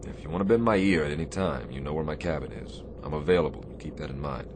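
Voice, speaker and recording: An actor playing a stern, demanding but caring Navy captain in a radio drama, with a commanding, sardonic delivery. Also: male voice, a bass voice. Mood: firm, concerned. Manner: commanding, sardonic, deliberate phrasing. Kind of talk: radio theatre acting. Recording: clear background noise, shipboard ambience.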